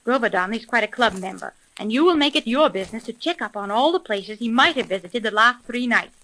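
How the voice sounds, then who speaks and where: An actress playing a brisk, commanding young female private detective in a crisp, authoritative 1930s radio drama style. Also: fairly high pitch, expressive, pushed and bright, poor audio. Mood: businesslike, firm. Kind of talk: 1930s old-time radio drama acting.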